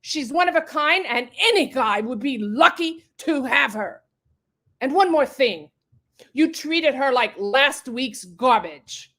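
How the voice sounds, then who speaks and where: Woman acting scornful, high-voiced protective friend. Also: a bit bright, heavily acted. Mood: fierce, dismissive. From Dominican Republic. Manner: biting, indignant, sprinkled with Spanish. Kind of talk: dramatic audition monologue.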